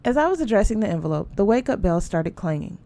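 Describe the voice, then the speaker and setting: A woman reads a novel aloud in a warm, mellow voice of middle pitch. Her narration is steady, and she lifts her voice high and bright for the characters' dialogue. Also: fast. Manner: lively dialogue voices, rising high for the mother and sisters. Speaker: audiobook reader.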